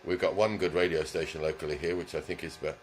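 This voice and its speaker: A man demonstrating a car radio in his small repair shop, talking casually, with ums and throat clearing. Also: deep voice, fairly even intonation, fast talker, a little hiss or hum. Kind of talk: casual hands-on product demonstration. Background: car radio playing music.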